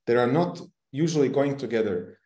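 A middle-aged man who is not a native English speaker, explaining technical things informally and haltingly on a video call. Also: medium pitch, animated, dark tone. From non-English-speaking country, long resident in Canada. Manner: hesitant, halting, searching for words. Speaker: webinar presenter, technical expert. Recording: roomy, echoing webcam call.

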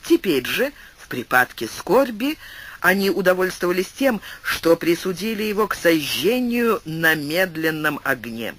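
A man's voice: sits high, fairly bright tone, slight background noise. A man narrating a classic tale for an audiobook, reading expressively. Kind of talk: scripted narration.

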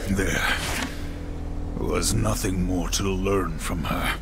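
Stoic knight type, low n unhurried, grim video game hero with music behind. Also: male voice. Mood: grave. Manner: grave, formal, commanding. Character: grim stoic armoured horseman warrior, stern and honourable. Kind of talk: video game cutscene acting.

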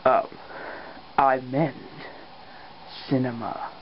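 Woman playing a slow, theatrical male vampire host. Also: very deep voice, fairly even intonation, full character voice. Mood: exasperated. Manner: theatrical, drawn-out announcing with flustered asides. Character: boastful, exasperated male vampire talk show host. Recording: muffled lo-fi audio, faint noise.

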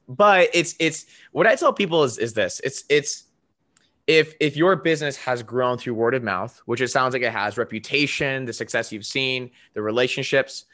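Upbeat guy hosting a video call podcast, bright voice, desk mic, keeps saying right. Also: mid-range voice. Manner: upbeat, salesy, lots of "right?" tags. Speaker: podcast host. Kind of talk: casual video-call interview. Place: home room with a framed poster.

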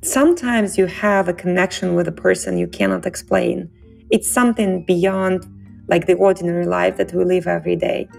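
A young woman from Siberia with a Russian accent, speaking earnestly in an interview and pausing to search for words. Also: on the low side, steady tone, gravelly, darkish tone, soft background music. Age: young adult. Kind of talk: interview excerpt.

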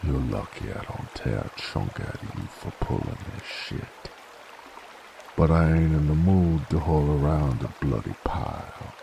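A gruff werewolf bounty hunter for an audio drama. He drawls slowly in an American Southern accent, folksy and threatening, with a very low, gravelly voice. A stream trickles clearly behind him. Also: animated, background noise, exaggerated voice. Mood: dark, menacing. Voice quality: very deep, gravelly. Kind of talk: roleplay audio acting, drawling cowboy talk. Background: flowing water ambience.

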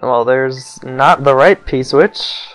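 Young male let's-player, unhurried, smooth clear voice, wry asides over faint game music. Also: mid-range voice. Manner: wry, self-mocking, mutters asides. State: voice feels off, maybe getting sick. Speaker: let's play commentator. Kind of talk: casual gameplay commentary.

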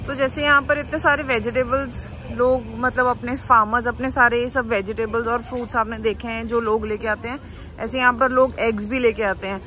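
A fairly high-voiced woman in her thirties talks matter-of-factly about prices as she walks an outdoor market. Clear market chatter and traffic sit behind her voice. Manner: matter-of-fact, comparing prices. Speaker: co-host. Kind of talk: casual chat.

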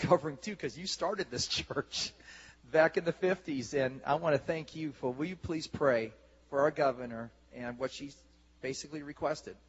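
A man's voice: a little high, breathy edge. Rousing man emceeing in a church hall. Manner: exuberant, rousing the congregation. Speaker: church leader hosting the service. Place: large church sanctuary stage. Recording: lo-fi camcorder recording in a reverberant hall.

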